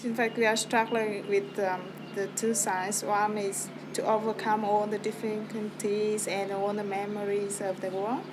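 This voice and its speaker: A woman's voice: medium pitch, little pitch movement, measured pace, hardly any pauses, background noise. A woman from Vietnam who speaks earnestly, with a few hesitations.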